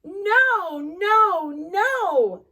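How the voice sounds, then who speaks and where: Lady reading out a strict mom yelling at her kid, firm and loud, high voice that jumps around. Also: animated, extremely slow, exaggerated voice. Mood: scolding. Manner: firm, loud scolding commands. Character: stern mother scolding her little boy.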